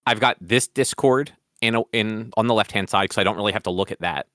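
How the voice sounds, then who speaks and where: Podcast host guy, low-ish voice, dry close sound, teasing and opinionated. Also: fairly quick, bright, forceful tone, no echo. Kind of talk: casual chat.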